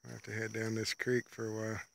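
A man's voice: on the low side, monotone, fast, gravelly, fairly bright tone, slight background noise. A man narrating casually while hiking through a wooded ravine, rambling in a laid-back, folksy way, recorded on a handheld camera outdoors. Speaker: vlogger. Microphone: handheld camera while walking.

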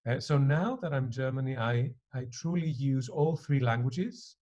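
Middle aged guy, greek native speaker, prof being interviewed, relaxed slightly raspy voice, talks reflectively. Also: mid-range voice, normal speed, dull audio. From Greece. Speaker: university professor being interviewed. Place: attic living room at home.

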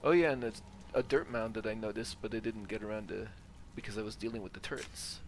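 A man's voice: lowish voice, fairly even intonation, fast talker, a little hiss or hum. A man giving casual gameplay commentary, thinking aloud as he plays through a video game. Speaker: gamer narrating own playthrough. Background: game ambience.

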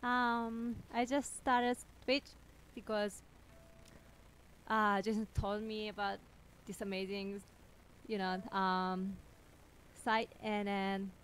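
A woman's voice: mid-range voice, little pitch movement, takes it slowly, long pauses, slight background noise, low-quality recording. A young woman from Japan with a Japanese accent, chatting hesitantly to a livestream audience with many ums and small laughs. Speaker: cellist streaming with a co-host. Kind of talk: casual livestream chat. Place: home music studio room. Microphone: headphones on, studio mic on a stand.

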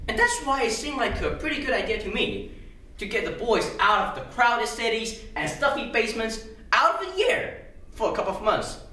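A man acting an earnest, idealistic senator in a theatrical monologue, speaking emphatically with halting, drawn-out phrases. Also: very high-pitched, pushed and bright, heavily acted. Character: earnest, impassioned idealistic male senator making a speech. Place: large echoey room, mic at a distance. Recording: echoey room, distant mic, faint noise.

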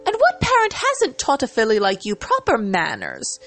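A woman's voice: a little high, huge pitch swings, moderate pace, fairly bright tone, soft background music, voice pushed up, full character voice. A woman voicing a fussy but caring cartoon unicorn mare, haughty, refined and theatrical, in a dramatic story reading. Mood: indignant.

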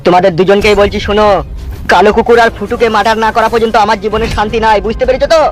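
High-pitched, fast, vengeful man-voiced cartoon thug. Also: steady tone, faint music behind, natural voice. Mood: grim, vengeful. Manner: menacing, abusive, drawn-out threats. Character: vengeful, foul-mouthed older brother, a threatening politician thug. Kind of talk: comedy cartoon dubbing.

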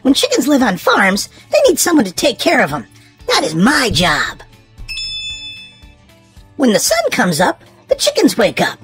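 A woman reads a children's storybook aloud in an expressive, sing-song way, leaning on key words for young listeners. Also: a little high, a little slow, pauses often, soft background music.

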